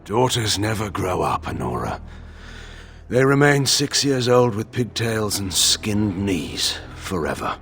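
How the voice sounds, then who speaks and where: An actor playing a proud, embittered middle-aged general with a gravelly, imperious delivery in a fantasy game cutscene. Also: male voice, on the low side, very animated, slow, a bit bright, heavily acted. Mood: resigned, tender. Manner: theatrical, imperious oratory.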